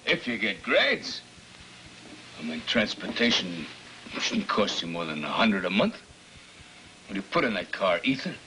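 A man playing a weary, evasive middle-aged American father in a 1950s film drama, speaking haltingly. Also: sits low, huge pitch swings, bright, forceful tone, full character voice. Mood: defensive, halting. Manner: halting, hesitant, with trailing tag questions. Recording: noisy old film soundtrack with clicks.

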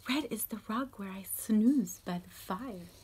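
Lady reading a picture book to little kids, takes it slow, really expressive, bit of background noise. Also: medium pitch. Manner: animated, slow, simple questions to young listeners. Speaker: children's storytime host and reader. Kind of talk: picture-book read-aloud for young children. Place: small room at home, indoors.

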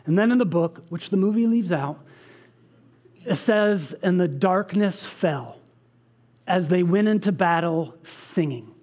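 A man in his thirties delivers a sermon from a church stage in a fairly high voice. He speaks earnestly, reading a passage aloud and breaking off for casual asides. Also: expressive, measured pace, darkish tone. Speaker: pastor. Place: church stage with band behind.

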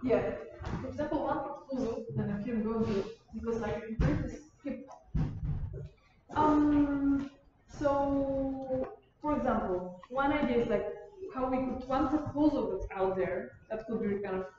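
A young woman from Bulgaria giving an informal lecture in a halting, rephrasing, digressive way. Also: high voice. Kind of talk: informal lecture and discussion of questions. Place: university lecture room. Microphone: far camera. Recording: distant mic, muffled, echoey room, loud noise.

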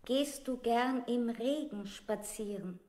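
Slow, high-voiced insistent questioner. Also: female voice, darkish tone. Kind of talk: avant-garde 1960s German radio play. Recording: echoey, very noisy recording.

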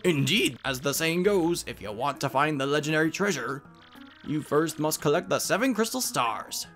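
A man voicing a scholarly, fussy, excitable old professor in a game, speaking in formal, old-fashioned phrases. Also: fairly high pitch, normal speed, faint music behind. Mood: scholarly, earnest.

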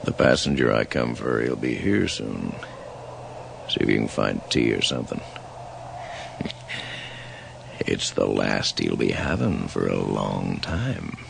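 An actor playing a sinister, mocking old train conductor from the beyond, with folksy menace and a gravelly voice, in radio horror drama. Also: male voice, very deep voice, sing-song, slow pace, pauses often, full character voice. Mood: gruff. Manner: folksy, menacing, taunting, drawling. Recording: slightly noisy 1980s radio recording.

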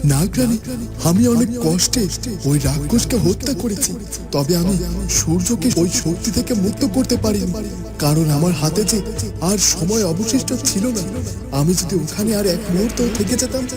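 Earnest, high-pitched magical hero. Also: male voice, lively intonation, normal speed. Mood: regretful. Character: noble, self-sacrificing male magical hero, earnest. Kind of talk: cartoon dubbing. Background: loud music.

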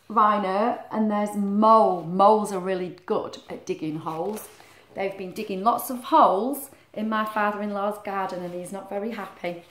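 A woman's voice: mid-range voice, a bit bright. A middle-aged woman reading a picture book aloud to children expressively, with chatty questions and asides, in a living room. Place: small living room, indoors. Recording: roomy echo of a living room.

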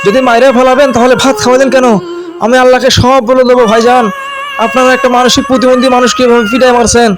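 A man's voice: very high-pitched, flat delivery, quick pace, noisy background. A man with a Bangladeshi accent voicing a frightened, simple-minded orphan man who pleads and whimpers in a comedy cartoon. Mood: crying, reproachful. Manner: pleading, whimpering, repeatedly addressing elders respectfully.

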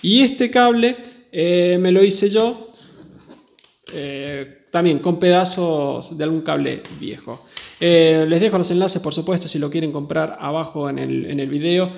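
A man's voice: fairly high pitch, unhurried, gravelly. A man presenting a casual hands-on tech demonstration, talking informally and directly to viewers. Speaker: video host demonstrating radio gear.

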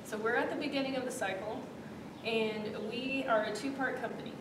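Woman, 30s, founder guest; thoughtful, halting delivery; distant, roomy office recording. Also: on the high side, steady tone, noisy background. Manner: thoughtful, many fillers and restarts. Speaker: startup founder, interview guest. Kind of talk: onstage interview. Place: office meeting room, seated in armchair. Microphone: far room camera. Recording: echoey room audio from far off.

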